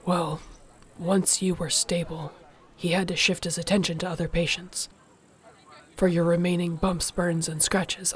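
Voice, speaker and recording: Woman acting as female orc warrior, protective girlfriend, soft and soothing, earnest, narrow pitch range, intimate fantasy audio roleplay. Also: close to an everyday voice.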